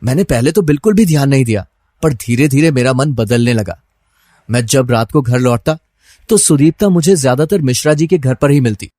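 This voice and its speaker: A man's voice: medium pitch, fairly quick, warm tone, no room sound, clean studio sound, close to an everyday voice. A man acting as a troubled, suspicious husband who narrates his own story in a dramatized first-person style.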